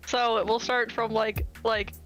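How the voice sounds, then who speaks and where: A woman's voice: on the high side, flat delivery, slow, music in the background. A woman calling in to a casual chat, telling a story in a rambling way with lots of fillers. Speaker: caller.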